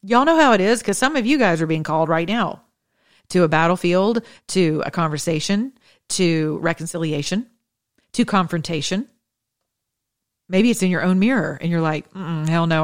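A middle-aged woman preaching a sermon, talking casually and with humor, then building to fervent, emphatic preaching. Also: low-pitched. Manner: conversational, joking, rising to fervent preaching. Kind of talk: impassioned sermon.

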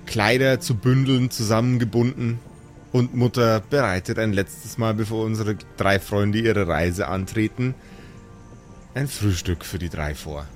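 A man narrating a fantasy tabletop game as game master. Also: medium pitch. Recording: background music under the voice.

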